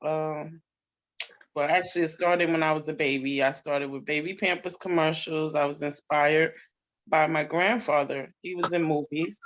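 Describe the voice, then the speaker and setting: Dull lo-fi recording of an actress. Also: female voice, low-pitched, steady tone, normal speed. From New York, US. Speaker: stage actress being interviewed. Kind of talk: casual interview answers. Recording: dull, lo-fi audio.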